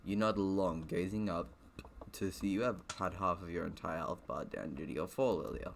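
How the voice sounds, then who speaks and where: A man with a very low, gravelly voice reads a visual novel aloud for a gaming video. He rambles and slips into casual asides as he narrates. There is noticeable noise in the background. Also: fairly even intonation, normal speed. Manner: rambling, self-interrupting.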